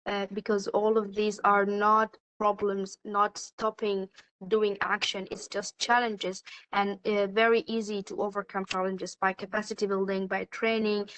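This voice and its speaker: Sudanese woman panelist, Arabic native, steady monotone, halting delivery. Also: mid-range voice, rough recording. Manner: hesitant, many fillers and restarts. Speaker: youth climate activist, panelist. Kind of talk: panel discussion.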